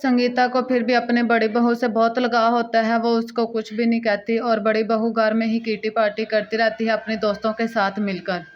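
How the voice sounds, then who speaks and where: Even, high-voiced woman storyteller, dull sound. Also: normal speed, hardly any pauses. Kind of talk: scripted cartoon story narration.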